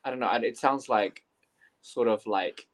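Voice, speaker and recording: Young man, from New Zealand, podcast guest, casual, filler-heavy, mid-pitched voice. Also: measured pace. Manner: relaxed, hesitant with many fillers. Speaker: guest teacher.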